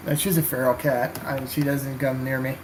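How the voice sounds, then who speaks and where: A man talks loosely while painting, circling back through fillers and restarts. His voice sits at an ordinary middle pitch. A faint hum runs under the recording. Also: flat delivery, a little fast. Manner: rambling, hesitant with many fillers. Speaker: wargaming hobbyist. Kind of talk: casual chat while painting.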